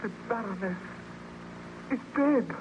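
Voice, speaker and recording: An actor playing an earnest, dutiful bodyguard in old-time radio melodrama, with a formal, stagey way of talking. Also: male voice, sits high, slow. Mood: urgent. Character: earnest, dutiful male bodyguard in a baron's house. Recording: distant, echoey, dull, noisy old radio.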